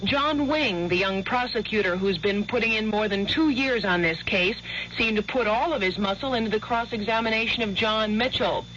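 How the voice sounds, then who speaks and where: Female radio correspondent, crisp reporting, faint hiss. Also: medium pitch, normal speed, few pauses, a bit bright, lo-fi sound. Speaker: news correspondent. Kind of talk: courtroom news report.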